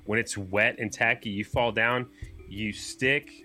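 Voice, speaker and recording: A man's voice: fairly low pitch, fairly even intonation, measured pace, pushed and bright, faint music behind. A man co-hosting a podcast, explaining race details in casual commentary. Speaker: podcast co-host.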